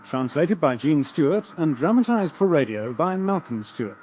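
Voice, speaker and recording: A man's voice: fairly high pitch, animated, moderate pace, muffled recording, over loud music. A man reading scripted opening credits as a radio drama announcer.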